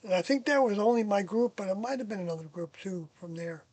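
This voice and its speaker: An older person reminiscing casually about past experiences, hesitant and pausing often while searching their memory. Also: medium pitch, lively intonation, a little mellow, no room sound. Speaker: interviewee, former member of a group recalling past experiences.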